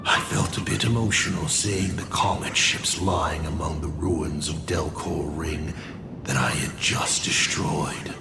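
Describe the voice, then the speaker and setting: An actor voicing a theatrical, mocking male villain in a video game, with a taunting, drawn-out delivery. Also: on the low side, vocal fry. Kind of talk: dramatic video game voice acting. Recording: loud music under the voice. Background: music.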